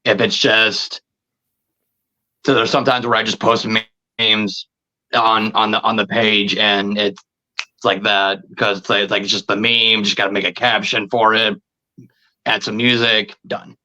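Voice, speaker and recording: A man in his thirties chatting casually as a podcast guest, halting and often repeating his words and phrases. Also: fairly low pitch, flat delivery, bright, forceful tone, poor audio. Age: 30s. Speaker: podcast guest, video creator. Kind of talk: casual interview chat.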